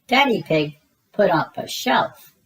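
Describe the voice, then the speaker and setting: A woman voicing a gentle, patient mother pig who speaks kindly. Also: on the low side, expressive, slow, voice pushed up. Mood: fond.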